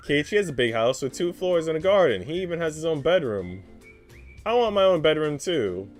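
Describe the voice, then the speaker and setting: A man voicing an eager, tactless little girl in a visual novel, with a whiny, excitable, sweet manner. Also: a little high, normal speed, soft background music, pitched above the performer's own voice. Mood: wistful, demanding.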